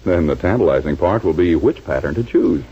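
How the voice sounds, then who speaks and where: A man's voice: sits low, lively intonation, a little fast, breathy edge. An American man announcing from a script in 1940s radio style, on a muffled old broadcast. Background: loud music.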